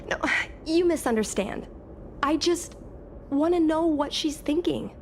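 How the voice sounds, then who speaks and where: A woman's voice: high-pitched, expressive, slow, slight background noise, exaggerated voice. A woman voicing an earnest, wistful young girl in a video game, speaking articulately. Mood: troubled. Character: earnest young girl, a pilot's daughter longing to fly. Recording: game music behind the voice.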